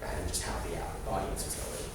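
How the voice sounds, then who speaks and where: A man's voice: sits low, steady tone. Very echoey, distant young man presenting. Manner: halting, false starts and repeated phrases. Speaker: student presenter. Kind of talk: informal class presentation. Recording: very echoey, noisy room from afar.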